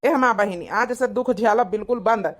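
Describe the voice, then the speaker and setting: A performer plays a young village husband and brother in a family cartoon. He has an Awadhi accent and a high-set voice for a man. He is earnest, caring and protective of his family. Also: moderate pace, natural voice. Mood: reassuring.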